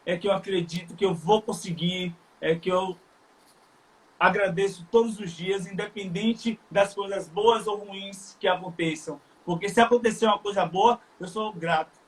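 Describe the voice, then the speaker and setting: A man from Salvador with a Bahian accent, speaking earnestly and haltingly in a casual chat, with many fillers. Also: high voice, steady tone, slight background noise, low-quality recording. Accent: Bahian Brazilian Portuguese. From Salvador, Bahia, Brazil. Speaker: live stream guest.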